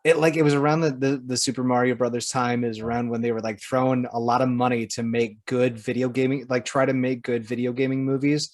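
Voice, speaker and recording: Male podcast co-host, mid-pitched voice, informal and teasing conversational delivery. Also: flat delivery, a little fast, hardly any pauses. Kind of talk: casual pop culture chat.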